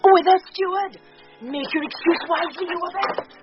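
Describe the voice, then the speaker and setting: A woman playing a sharp-tongued serving gentlewoman in a Shakespearean radio drama, scolding and bantering briskly. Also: extremely high pitch. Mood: teasing scolding. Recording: dull 1990s radio recording, faint music.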